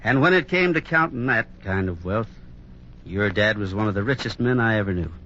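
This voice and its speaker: An actor playing a kindly, steady, sincere Western hero who also tells the story in a 1950s radio drama. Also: male voice, lowish voice, a little fast. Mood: earnest. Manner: sincere, reassuring. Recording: muffled old radio recording, faint noise.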